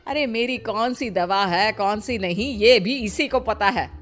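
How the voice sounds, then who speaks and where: A woman's voice: medium pitch, measured pace, close to an everyday voice. Woman playing blunt, protective elderly mother-in-law. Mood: indignant. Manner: blunt, scolding, questioning. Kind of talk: dramatized moral storybook dialogue. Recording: music behind the voice. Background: background music.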